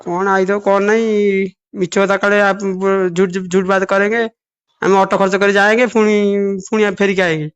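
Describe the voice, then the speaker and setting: A man whose first language is Odia, halting through a phone call with many restarts. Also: high-pitched, flat delivery, a bit bright, smooth. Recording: muffled phone call recording.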